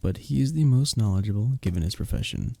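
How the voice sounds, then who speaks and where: A man playing a gentle, earnest village innkeeper in a medieval ASMR roleplay, speaking softly and intimately in formal, old-world phrasing. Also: fairly low pitch, expressive, fast, gravelly, breathy edge, dry recording, full character voice. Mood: flattered, fond. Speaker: audio roleplay performer. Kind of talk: ASMR roleplay, intimate one-sided conversation.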